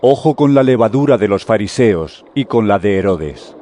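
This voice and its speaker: An actor playing a solemn, authoritative male teacher and healer in a dramatized scripture audio drama, speaking in a measured, deliberate way. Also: fairly low pitch, lively intonation, warm tone, slight background noise, lightly acted. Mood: stern warning.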